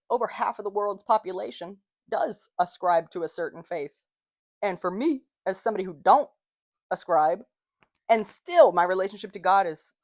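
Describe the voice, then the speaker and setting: A woman's voice: medium pitch, a little mellow, no room sound, studio quality. A woman in her late twenties, hosting a casual solo podcast, talking candidly with lots of slang and swearing. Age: 20s. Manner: candid and profane, slangy, lots of "you know what I mean". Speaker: podcast host.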